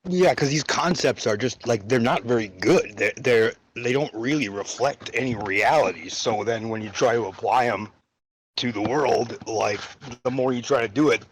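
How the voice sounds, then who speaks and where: A man's voice: mid-range voice, normal speed, husky, low-quality recording. A man thinking aloud in a casual discussion, musing as he talks. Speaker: guest in a live chat.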